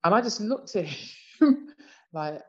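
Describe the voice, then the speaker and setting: A woman's voice: medium pitch, lively intonation, takes it slowly, dull audio. A British woman in her fifties talking reflectively in a casual conversation, with many "you know" asides. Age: 50s. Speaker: discussion participant.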